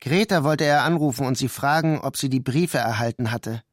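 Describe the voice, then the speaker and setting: A man reading scripted literary narration for a radio play in a measured, deliberate way. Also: medium pitch, dry recording, clean studio sound. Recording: prominent music under the voice. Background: music.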